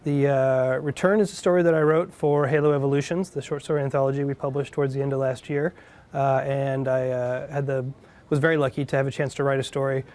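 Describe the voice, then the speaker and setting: A man's voice: medium pitch, flat delivery, fast, a little mellow. A man speaking as an editor and writer in a behind-the-scenes interview.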